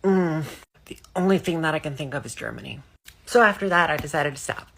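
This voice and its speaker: A young woman from Iceland telling a blunt, sweary story to camera with deadpan humor. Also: deep voice, brisk, gravelly.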